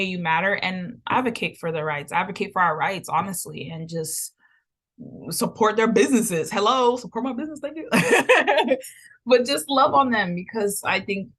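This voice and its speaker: Woman, 30, chatty and playful, laughs a lot, middle pitch. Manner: animated, laughs often, playful and emotional. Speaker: podcast host. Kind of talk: impromptu casual vlog update.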